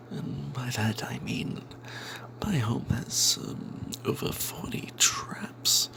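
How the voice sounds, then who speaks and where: A man tells a tabletop fantasy adventure as an in-character narrator, in a breathy, hushed, secretive ASMR whisper with dramatic pauses. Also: medium pitch. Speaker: narrator telling the tale in character as a cleric.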